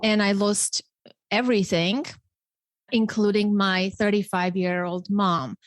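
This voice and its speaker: A woman's voice: medium pitch, fairly even intonation, unhurried, no echo. A woman in her forties with an Armenian accent, hosting a podcast in an earnest, emphatic way. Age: 40s. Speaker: podcast host. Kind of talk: podcast introduction and interview talk.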